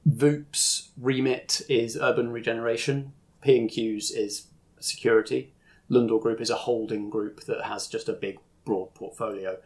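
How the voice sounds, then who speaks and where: A man's voice: mid-range voice, steady tone, a little slow, a little mellow. A man narrating a tabletop roleplaying game as game master, explaining things in an informal way.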